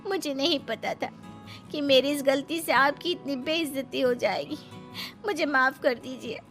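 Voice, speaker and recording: Very high, bright young village wife played by a woman. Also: a little slow, faint music behind. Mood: apologetic. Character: plucky, practical young village daughter-in-law.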